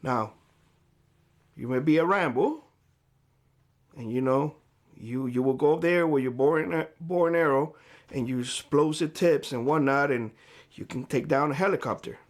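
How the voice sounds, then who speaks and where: A man with a fairly high voice talks straight to camera in a vlog. His voice is hoarse, as if he is losing it. His advice is blunt and lecturing. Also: a little slow, pauses often. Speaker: prepper YouTuber. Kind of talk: direct-to-camera vlog monologue. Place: homestead.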